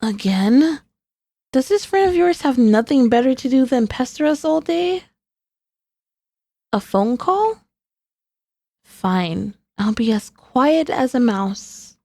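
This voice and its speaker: A woman's voice: sits high, lively intonation, slow pace, creaky, long pauses, warm tone, no room sound, clean studio sound, full character voice. A woman playing a sassy, sneaky, possessive centuries-old female demon in an ASMR roleplay, speaking in a haughty, teasing, formally old-fashioned way. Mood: exasperated. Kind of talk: ASMR roleplay, scripted character acting.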